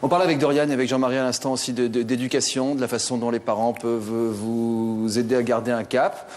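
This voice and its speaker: A man's voice: medium pitch, flat delivery, quick pace, darkish tone, in a large hall, slight background noise. A man hosting a talk show, jovial and teasing with his guests. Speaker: host.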